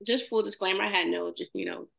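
Woman co-host, gravelly bright voice, soft and hesitant. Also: mid-range voice, fast. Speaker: podcast co-host. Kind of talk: casual group chat.

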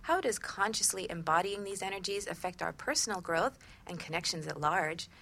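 A middle-aged woman co-hosting a relationship talk show on the radio as a coach, presenting in an enthusiastic, expressive way that moves between script and chat. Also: on the low side, normal speed, vocal fry, a little hiss or hum.

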